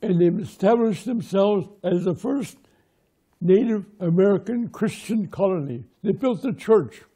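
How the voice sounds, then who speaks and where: Slow, dark-voiced man whose first language is Lakota. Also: sits high, slightly rough. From Pine Ridge, South Dakota. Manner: measured, short plain sentences. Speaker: interviewee, local historian. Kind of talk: oral history storytelling.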